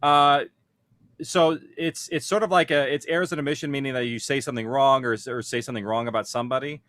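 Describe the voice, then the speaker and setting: Male panel speaker, medium pitch, casual explanatory delivery, very dry recording. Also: steady tone, fast, a bit bright, dry recording. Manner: informal, explanatory. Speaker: panelist, audio producer. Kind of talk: conference panel talk.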